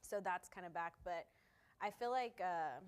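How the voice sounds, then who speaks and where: Low-voiced woman, halting chat. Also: clean tone. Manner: halting, restarts a lot, many fillers. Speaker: co-host. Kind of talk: casual chat.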